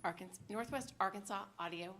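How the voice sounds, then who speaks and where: A woman's voice: mid-range voice, moderate pace. Woman giving a welcome, noisy background. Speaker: library host introducing a show. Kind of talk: scripted welcome announcement. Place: live public event in a library. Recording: clear background noise, clicks.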